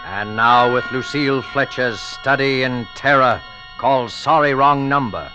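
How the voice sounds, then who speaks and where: Ominous male thriller host, formal, dramatic, middle-pitched, 1940s radio broadcast, clear music behind. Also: measured pace, pushed and bright, muffled recording.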